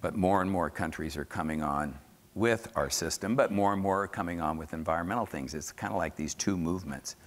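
Deep-voiced older American man in a hall. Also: brisk. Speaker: keynote speaker, soil scientist. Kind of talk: conference lecture with slides. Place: large dark conference hall, on stage at podium. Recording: echoey hall, amplified stage speech.

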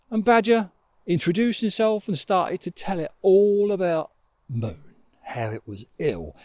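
An older man telling a children's story in an expressive, conversational way, recorded outdoors on a lapel mic. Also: on the high side, no echo, dull audio. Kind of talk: informal oral storytelling for children. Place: outdoors on a grassy riverbank. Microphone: lapel mic with furry windshield.

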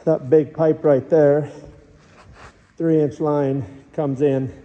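Middle-aged man, builder vlogger, plain technical explainer, slightly high voice, camera mic in a reverberant empty concrete pool. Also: level tone, takes it slowly, far from the mic, lo-fi sound. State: tired late at night. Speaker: builder and channel host. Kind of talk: casual build-progress vlog. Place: inside a large empty concrete pool shell, indoors. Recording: echoey concrete space with long reverb.

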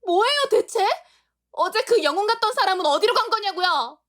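A woman playing a blunt, feisty young woman who helps at her mother's flower shop, scolding in a sharp manner. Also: extremely high pitch, animated, moderate pace. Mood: indignant. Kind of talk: amateur audio drama acting.

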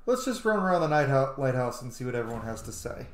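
A man's voice: mid-range voice, very animated, fast talker. A man in his thirties hosting a gaming video with casual let's play commentary. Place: small room indoors at home. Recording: roomy echo, faint background noise.

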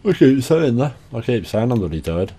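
A young man commentating casually over his game. He is tired and a little hoarse from a cough, chatty and sweary. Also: medium pitch, big pitch swings, moderate pace, warm tone. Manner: sweary, chatty, self-deprecating. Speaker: gaming channel host.

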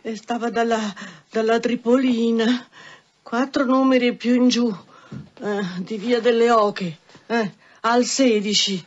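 An actress in a radio drama playing a flustered, squeamish brothel madam who talks in halting, colloquial stammers. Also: female voice, on the high side, steady tone. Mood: hesitant, embarrassed. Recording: dull, noisy radio drama recording.

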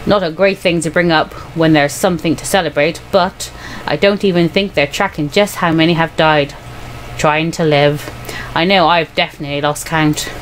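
Woman putting on a gruff, bitter man, pitched low. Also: steady tone, normal speed, a little hiss or hum, natural voice, poor audio. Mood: grim. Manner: grumbling, cynical, emphatic. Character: gruff, bitter working-class man in a pub.

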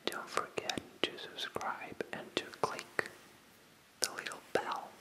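An ASMR creator speaking to viewers in a pure breathy whisper, with a halting, hesitant delivery that often repeats phrases. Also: poor audio.

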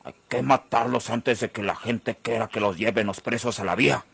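An actor playing a menacing, suspicious boss with a gravelly, husky voice and a rural northern Mexican accent in an old radio serial. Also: male voice, lowish voice, moderate pace, a bit bright, full character voice. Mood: tense, plotting.

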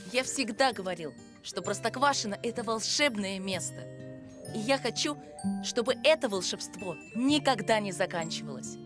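An actress playing an earnest, polite, adventurous young boy in an animated series. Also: mid-range voice, normal speed, natural voice. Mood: heartfelt. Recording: music behind the voice.

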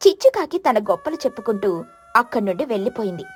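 A woman tells a children's cartoon story in a soft, storybook way. Her voice sits high, with faint music beneath it. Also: big pitch swings, fast, breathy edge. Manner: gentle storybook telling. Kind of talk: scripted cartoon narration.

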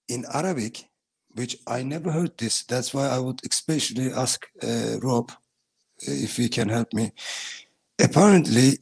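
Turkish guy on a video call, husky voice, earnest broken english, sitting in a bathroom. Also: medium pitch. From Turkey. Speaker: guest giving testimony. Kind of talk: casual video call chat. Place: small bathroom at home.

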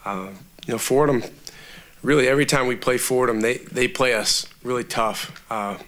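A man in his thirties, a college basketball coach, answering reporters at a post-game press conference in a steady, measured way with many fillers, on a table microphone. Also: medium pitch. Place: press room before a sponsor backdrop.

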